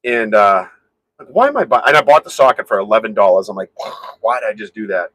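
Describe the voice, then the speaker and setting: A man hosting a casual live stream from his garage, rambling and answering viewers' comments in a very loud voice. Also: on the low side, animated, quick pace. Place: home garage.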